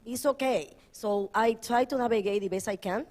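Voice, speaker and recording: A woman's voice: fairly high pitch, normal speed, darkish tone. Woman searching for words on a panel. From Dominican Republic. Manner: broken phrasing, searching for words. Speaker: panelist, artist. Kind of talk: panel discussion answer.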